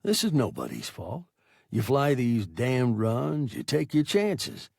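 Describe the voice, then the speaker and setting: A man voicing a weary, fatherly male pilot who keeps his voice low and soft. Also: creaky, breathy edge, no room sound, clean studio sound, exaggerated voice. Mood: soothing. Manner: low and deliberately soft, trying to sound calm. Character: weary middle-aged male pilot, gruff but fatherly.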